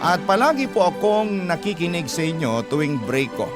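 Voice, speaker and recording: A male radio host reads a love story in the first person with a dramatic storytelling delivery. His voice sits fairly high for a man, and guitar music plays strongly behind him. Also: big pitch swings, normal speed. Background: prominent guitar music.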